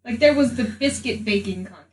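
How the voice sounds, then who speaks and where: A woman's voice: a little high, a little fast, a little mellow, far from the mic. A woman playing a tabletop role-playing game, bantering casually in a wry, blunt way. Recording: echoey room, off mic, background noise.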